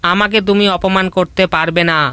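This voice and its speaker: A man's voice: high-pitched, flat delivery, normal speed, pushed and bright, clean tone, no echo. A man voicing a bold, protective fox uncle in a cartoon. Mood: defiant. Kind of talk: cartoon voice acting.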